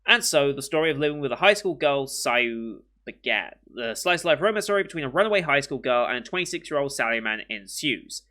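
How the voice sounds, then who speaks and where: A young British man hosting an anime reaction channel, chatting casually and rambling with many pauses and restarts, a bit sniffly from a cold. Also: mid-range voice, expressive, pushed and bright. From Britain. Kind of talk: casual chat to camera.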